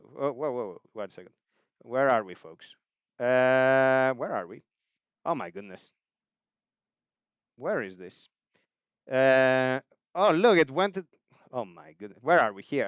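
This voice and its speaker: A man's voice: medium pitch, dry recording, highs cut off. Greek man musing on a livestream. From Greece. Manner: thoughtful, rambling, frequent uh fillers. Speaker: livestream host answering chat questions. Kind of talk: casual livestream Q&A.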